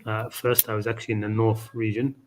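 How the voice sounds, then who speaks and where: Man hosting an informal chat, lowish voice, loose and filler-heavy, light background hiss; video call. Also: flat delivery, fast talker. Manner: friendly, filler-heavy, rambling. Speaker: host. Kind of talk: casual conversation.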